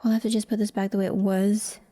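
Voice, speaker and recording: A woman giving casual behind-the-scenes commentary as she edits, halting and muttering to herself. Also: medium pitch, level tone, mellow, faint music behind. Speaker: voice actor, audio creator.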